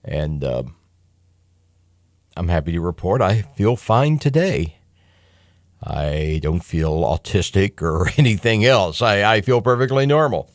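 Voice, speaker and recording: A man's voice: low voice, lively intonation, a little slow, pauses often, no echo, studio quality. A middle-aged American man hosting talk radio, giving a casual, opinionated monologue in a folksy, conversational way with emphatic asides. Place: radio studio. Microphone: close studio microphone.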